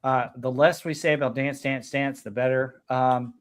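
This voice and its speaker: A man's voice: medium pitch, steady tone, moderate pace. A man hosting a casual podcast chat about music, talking enthusiastically with many fillers. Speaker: podcast host.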